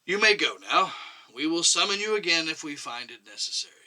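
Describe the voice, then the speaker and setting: A man acting out a stern male chairman in a dramatic story reading. He interrogates with exasperated emphasis, stretching key words. The voice is sharp and forceful, leaping widely in pitch. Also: animated, brisk, low-quality recording.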